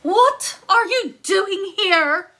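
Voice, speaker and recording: A woman voicing a bossy giant wife who scolds in a shrill voice. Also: lively intonation, very slow, pushed and bright, exaggerated voice. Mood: scolding.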